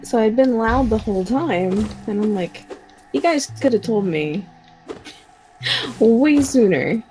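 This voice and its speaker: A woman streamer chatting casually with co-streamers, quick and animated, with lots of fillers. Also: fairly high pitch, faint music behind, poor audio.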